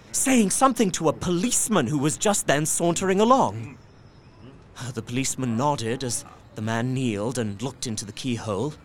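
A man narrating as a steady, earnest Victorian journal writer. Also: a little high, slight background noise, close to an everyday voice. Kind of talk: audio drama narration.

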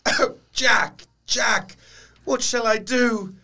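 An actor playing a bereaved young English nobleman who speaks formally and emotionally, in a halting, courteous way. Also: very high voice, fairly even intonation, pushed and bright, heavily acted. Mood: anguished. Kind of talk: period audio drama acting.

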